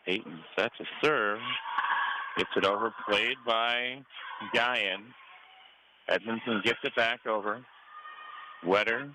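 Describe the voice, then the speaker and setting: A man's voice: on the low side, takes it slowly, long pauses. A man doing live radio play-by-play of a volleyball match in clipped running calls from courtside in a gym. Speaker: radio play-by-play sports announcer. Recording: clear gym noise behind live radio call. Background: noisy gym ambience.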